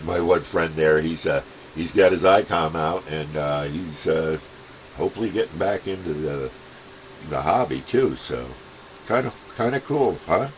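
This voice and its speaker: Male CB hobbyist, extremely deep voice, casual halting radio chat, faintly noisy transmission.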